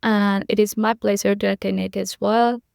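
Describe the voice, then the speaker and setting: A woman's voice: medium pitch, flat delivery, normal speed, vocal fry, darkish tone, smooth, no echo, clean studio sound. A young woman from Nepal, a podcast guest, giving earnest, repetitive conversational answers with frequent fillers.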